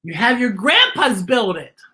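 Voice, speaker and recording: Adult storyteller as little schoolgirl, raised pitch, gushing, proud, swooping, children's storybook character. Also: sing-song, unhurried, pushed and bright. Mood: excited, proud. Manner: gushing, exclaiming. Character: excited little schoolgirl proud of her grandpas.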